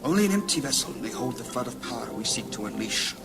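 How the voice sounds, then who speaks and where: Solemn archmage with loud music behind. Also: male voice, mid-range voice, very animated, quick pace, creaky. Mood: solemn, grave. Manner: solemn, commanding, formal. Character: grave male archmage leading a council of wizards. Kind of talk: high fantasy audio drama acting.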